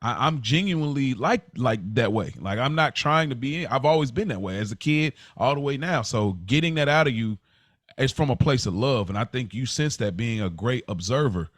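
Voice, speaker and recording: A man's voice: medium pitch, lively intonation, normal speed, no echo, studio quality. A man speaking as a podcast co-host in casual, reflective conversation, earnest and conversational in his delivery.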